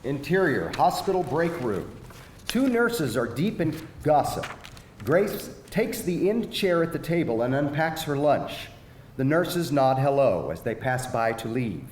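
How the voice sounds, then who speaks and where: Expressive male narrator in an echoey hall. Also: medium pitch, measured pace. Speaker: stage-direction narrator. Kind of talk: scripted narration at a live screenplay reading. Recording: echoey hall, faint background noise.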